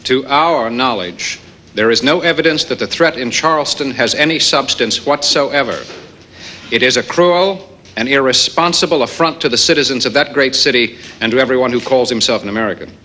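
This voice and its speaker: A man playing a grave presidential press secretary, delivering a formal statement in an emphatic, deliberate manner. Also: mid-range voice, sharp tone, close to an everyday voice. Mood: grave, official. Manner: emphatic, deliberate, repeats phrases. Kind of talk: formal official statement acting. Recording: very noisy crowded press briefing room.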